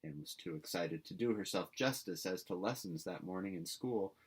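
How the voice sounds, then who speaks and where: A man's voice: low voice, moderate pace, husky. A man reading a classic novel aloud as narrator, in scripted storytelling prose.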